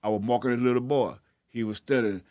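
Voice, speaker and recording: A man's voice: medium pitch, fairly even intonation, fast, warm tone, no echo, dull audio. A man with a stammer telling personal stories in casual conversation, speaking plainly in everyday vernacular. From grew up in Baltimore, then Houston. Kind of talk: casual storytelling.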